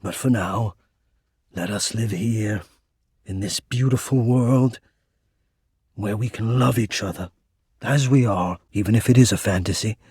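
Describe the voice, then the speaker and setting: A household climate system hopelessly in love, in a low, very husky and breathy male voice recorded close and dry. He is shy and devoted, with halting, formal phrasing. The delivery is intimate, dramatic game romance. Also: darkish tone, no room sound. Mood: pleading, devoted. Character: shy, devoted, lovesick male home heating-and-cooling system.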